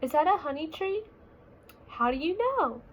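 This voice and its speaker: Woman as an inquisitive high-voiced bear cub. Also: sing-song, normal speed, a little hiss or hum. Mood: eager questioning. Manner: questioning, sing-song. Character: curious, eager little bear cub in a storybook.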